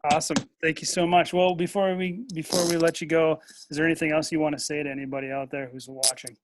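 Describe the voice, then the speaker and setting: A man's voice: a little high, flat delivery, fast, slight background noise. An American man hosting a casual interview. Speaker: interview host.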